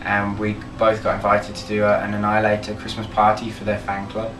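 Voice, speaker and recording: A young man from Birmingham talks casually in an interview, steady and measured with many fillers, as band leader. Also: lowish voice, noisy background. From Birmingham, England. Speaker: band leader, guitarist and singer. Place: band label office. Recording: roomy office, distant mic, music behind.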